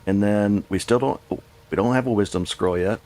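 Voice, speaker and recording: A man's voice: on the low side, fairly quick, darkish tone. A man chatting casually with viewers while he plays a game live, rambling and correcting himself as he goes. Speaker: game streamer. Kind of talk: casual live gameplay commentary.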